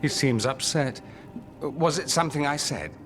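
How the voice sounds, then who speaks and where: A man's voice: on the high side, moderate pace, natural voice. A man playing a witty, haughty nobleman mage in a fantasy game, with an arch, polished, theatrical delivery. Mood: playful. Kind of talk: theatrical cinematic game dialogue. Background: music.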